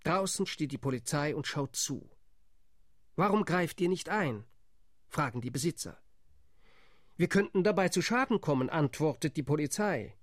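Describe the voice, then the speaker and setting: Male actor as a bitter spokesman in a radio drama, pointed and sardonic, slightly higher voice. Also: animated, normal speed, long pauses, no echo, clean studio sound. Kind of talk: radio play monologue.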